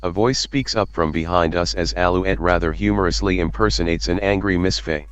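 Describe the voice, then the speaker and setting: A man live-streaming a visual novel, reading its text aloud in a measured narrating way, as game music plays. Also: low voice, steady tone, smooth, muffled recording.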